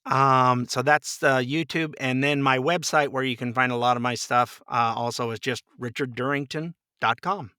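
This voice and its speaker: A man with a bright, forward voice talks casually as a guest on a podcast. His manner is lighthearted and folksy, and the sound is close and very dry. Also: medium pitch, steady tone, moderate pace, hardly any pauses, smooth, no room sound. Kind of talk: casual chat.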